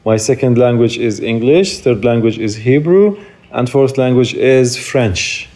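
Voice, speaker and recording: A man in his forties speaks English with an Arabic accent, his mother tongue showing through. He talks in an earnest, explanatory way, with small restarts as he finds his words. His pitch sits in an ordinary middle range for a man. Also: a little slow, dark tone. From Jerusalem. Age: 40s. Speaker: interviewee, tour guide. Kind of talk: conversational interview answers.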